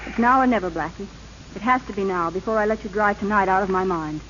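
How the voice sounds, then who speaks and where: A woman's voice: medium pitch, quick pace, a bit bright, close to an everyday voice. An actress playing a wistful, sincere young woman in an old radio drama, with earnest theatrical delivery on a muffled, hissy recording. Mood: pleading. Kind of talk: 1930s-40s radio drama acting.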